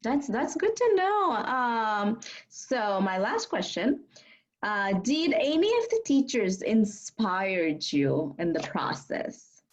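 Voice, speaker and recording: A young woman asks interview questions in a friendly, encouraging tone, filling her speech with casual fillers. Her voice sits at a middle pitch. The recording sounds dull and lo-fi. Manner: friendly, encouraging, many fillers like "like" and "you know".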